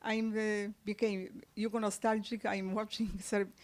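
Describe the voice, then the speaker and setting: A woman author whose first language is Serbian, answering questions at a book launch in halting speech with many fillers and restarts. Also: medium pitch, little pitch movement. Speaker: author guest. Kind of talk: conversational book-launch interview. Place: press club event room.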